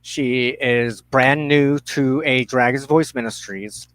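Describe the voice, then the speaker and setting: A man hosting a livestream, making introductions and announcements in a halting, deliberate way with pauses mid-phrase. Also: mid-range voice, a bit bright, no room sound. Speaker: host of a religious broadcast show.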